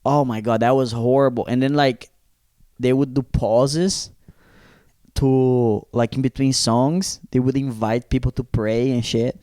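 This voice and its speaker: Brazilian guy on a comedy podcast, mellow dark voice, swears a lot, acts out quotes, dry close mic. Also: mid-range voice, fairly even intonation, measured pace, clean tone, no echo. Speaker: podcast co-host. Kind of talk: casual comedic chat.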